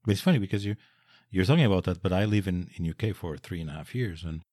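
A non-native English-speaking man with a very low, husky voice, recorded dry and close. He interviews a guest with long, rambling, enthusiastic questions. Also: quick pace, darkish tone, no room sound. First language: not English. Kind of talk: interview conversation.

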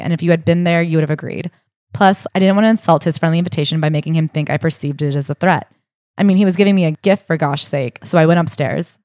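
A woman's voice: deep voice, a little mellow, no room sound, clean studio sound. A woman co-hosting a casual book-discussion podcast, earnestly reading passages aloud and talking them over. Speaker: podcast co-host.

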